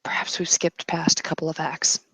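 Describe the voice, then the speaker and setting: A woman playing a patient, cryptic female mentor in a tabletop roleplay, gently insistent, measured and solemn, with pauses. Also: low-pitched, level tone, slightly rough, no room sound, heavily acted.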